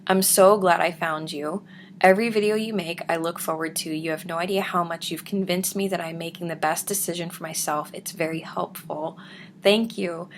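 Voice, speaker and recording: A young woman with a deep, raspy voice, chatting casually to her viewers at home and slipping into soft whispers. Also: fairly even intonation, normal speed, a little hiss or hum. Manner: soft-spoken, drifts into whispers. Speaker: vlogger. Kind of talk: casual chat reading viewer comments.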